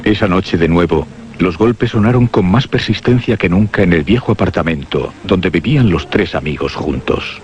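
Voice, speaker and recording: A man's voice: sits low, expressive, moderate pace, darkish tone. A man narrating a scripted horror radio drama. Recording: dull lo-fi audio under music. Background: prominent music.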